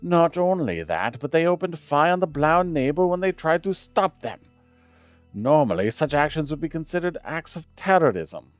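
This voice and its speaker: A man voicing a measured, argumentative male council official, formal and reasoning, in a political story game. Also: fairly high pitch, very animated, no echo. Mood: stern. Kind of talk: dramatic reading of story game dialogue. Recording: dull lo-fi audio with music behind.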